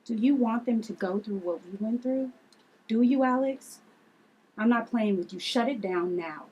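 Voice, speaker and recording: A woman's voice: fairly high pitch, normal speed, a little mellow, slightly rough, muffled recording. A woman at a table read playing a fierce, protective aunt who readily confronts and threatens. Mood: stern.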